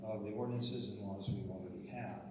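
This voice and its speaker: A man who serves as a city councilor, giving a measured, deliberate answer at a candidate forum. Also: on the low side, fairly even intonation. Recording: echoey hall from far off, noisy.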